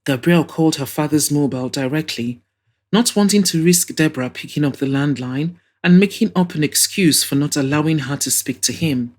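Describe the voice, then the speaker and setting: A woman's voice: low voice, brisk, a little mellow. Woman narrating an audio drama.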